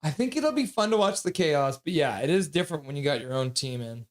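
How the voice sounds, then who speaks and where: A man's voice: a little high, lively intonation. A man hosting a live stream, calling the action in casual play-by-play and reading chat messages aloud. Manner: reads chat messages aloud and chats back; quick, offhand running description of the action. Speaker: livestream host.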